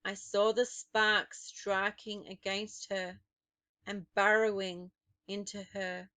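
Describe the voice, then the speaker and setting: A woman narrating a children's novel aloud in a deliberate, expressive, dramatic storytelling style. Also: medium pitch, smooth, no echo. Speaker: storybook reader.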